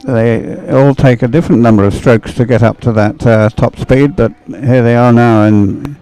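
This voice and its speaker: Mellow, clear man commentating a race. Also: medium pitch, normal speed, rough recording. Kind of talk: live sports commentary.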